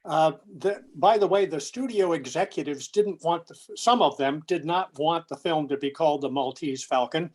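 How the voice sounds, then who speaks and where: A man co-presenting a prepared but conversational lecture, with measured, deliberate phrasing. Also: fairly high pitch, a little mellow.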